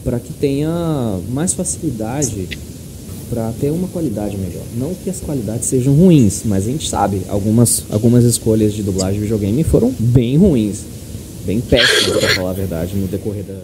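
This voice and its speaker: A man's voice: lowish voice, normal speed. A man with a Brazilian accent co-hosting a podcast, chatting casually and giving emphatic opinions. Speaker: podcast co-host. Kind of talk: casual chat. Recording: clear background noise, room ambience.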